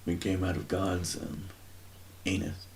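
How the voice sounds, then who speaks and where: Slow, gravelly low-voiced man musing. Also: monotone, slight background noise. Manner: rambling, searching for words, many fillers. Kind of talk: informal religious teaching, thinking aloud.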